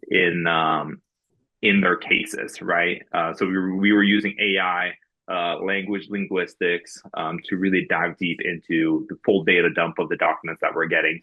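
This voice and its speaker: Deep-voiced raised man, muffled audio. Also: husky. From Norway. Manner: many fillers and restarts, earnest storytelling. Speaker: guest. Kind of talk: podcast interview.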